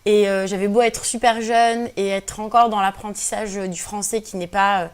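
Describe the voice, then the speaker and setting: A woman's voice: medium pitch, fairly even intonation, normal speed, smooth. A woman in her twenties from Algeria, a native Berber speaker, answering interview questions earnestly with many hesitations and fillers. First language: Berber (Kabyle). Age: 20s. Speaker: guest activist.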